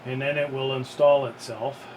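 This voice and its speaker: A man giving a casual how-to narration in a halting, step-by-step way, as an unboxing video presenter. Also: mid-range voice, fairly even intonation, background noise.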